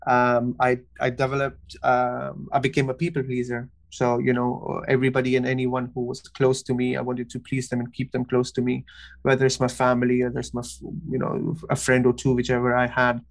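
A man from Pakistan, raised in Kuwait, a therapist telling his personal story as a podcast guest in a halting, earnest way with many pauses and restarts. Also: medium pitch, completely flat delivery, gravelly. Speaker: guest, cognitive behavior therapist.

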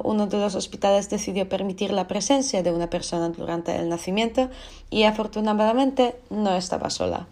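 A young woman, a student and teacher, reading a personal essay aloud in an even, composed way. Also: mid-range voice.

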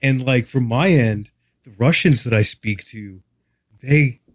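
A man's voice: medium pitch, unhurried, no echo, clean studio sound. A man from Texas chatting casually as a podcast guest, with a candid, wry, casually profane way of talking. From Texas, United States. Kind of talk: casual interview chat.

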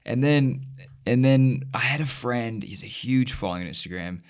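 A young man hosting a podcast, talking in a relaxed, rambling way with lots of fillers. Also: mid-range voice, moderate pace, smooth. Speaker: podcast host. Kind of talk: casual conversation.

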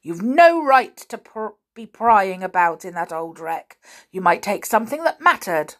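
A woman's voice: fairly low pitch, expressive, normal speed, a bit bright, husky, full character voice. A woman voicing an irascible middle-aged uncle in a story, barking indignant questions and scornful remarks. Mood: stern.

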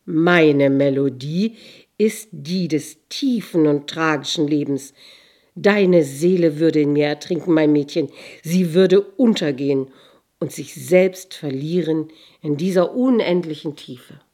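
A woman plays a mighty sea from a fairy tale. The voice is low, forceful in delivery and slightly husky, stern and solemn, with each phrase given slow, grave weight. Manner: booming, grave, slow and emphatic.

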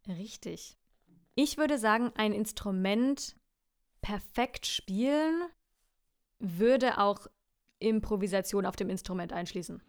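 A woman's voice: mid-range voice, lively intonation, takes it slowly, long pauses. A young woman from Franconia co-hosting a casual chat, thoughtful and a little hesitant. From Franconia, Germany. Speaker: podcast co-host.

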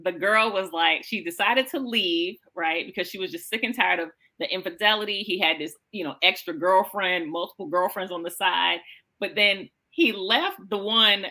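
A woman hosting a podcast in a casual, conversational way, expressive and emphatic as she talks things over with a guest. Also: medium pitch, moderate pace. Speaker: podcast host.

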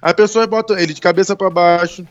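A man co-hosting a casual chat show, talking in a heated, excitable way with frequent swearing and laughter. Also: high-pitched, quick pace, highs cut off. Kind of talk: casual banter about football.

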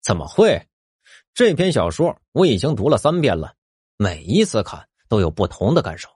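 An actor playing an earnest, expressive middle-aged police detective who loves mystery novels, in an audio drama. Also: male voice, fairly low pitch, moderate pace, husky, no room sound, studio quality. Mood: eager. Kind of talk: multi-cast audio drama acting.

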